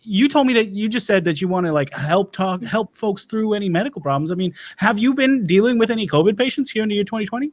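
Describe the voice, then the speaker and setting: Guy hosting a comedy show, folksy and halting, kinda high voice. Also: expressive. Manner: folksy, hesitant, drawling with many uhs. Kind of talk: improvised comedy interview.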